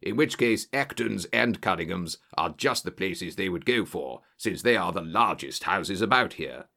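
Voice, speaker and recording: A man voicing a bluff, genial old-soldier colonel, an English country gentleman, in an audiobook story. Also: low-pitched, lively intonation, normal speed, vocal fry, pitched below the performer's own voice, heavily acted. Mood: cool, matter-of-fact.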